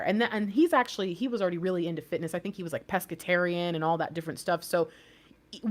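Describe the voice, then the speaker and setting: A woman in her thirties from the United States, giving a casual tarot reading in a chatty, rambling way and laughing often. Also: fairly high pitch. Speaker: tarot reader.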